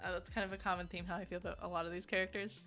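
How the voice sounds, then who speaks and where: A woman's voice: fairly low pitch, flat delivery, racing, fairly bright tone, faint music behind. A woman giving a casual, conversational video game review in an emphatic, informal way, often giggly as she talks.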